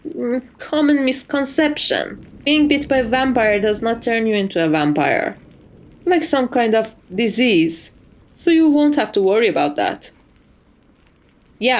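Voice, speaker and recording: A woman acting a lilting, courteous, composed maid. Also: a little high. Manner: formal, polite, reassuring. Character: composed, courteous vampire's maid, wryly teasing. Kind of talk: ASMR roleplay acting.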